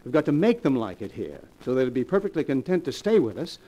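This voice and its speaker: An actor playing a worried, scheming nephew with emphatic, urgent 1940s radio mystery delivery. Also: male voice, medium pitch, very animated, fast, close to an everyday voice, poor audio. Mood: scheming, agitated. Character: scheming, worried nephew plotting against old women.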